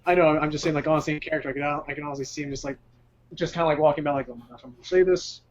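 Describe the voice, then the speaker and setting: A man playing a tabletop game, talking in a halting, rambling way with many restarts. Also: on the high side, fairly even intonation, muffled recording. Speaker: player. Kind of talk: casual tabletop game chat.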